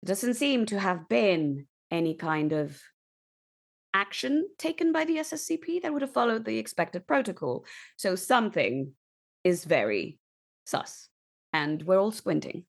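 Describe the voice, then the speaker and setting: A woman describing her roleplaying character in an articulate, measured way, with formal wording and dry asides. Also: mid-range voice, expressive, creaky. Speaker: player in a roleplaying podcast.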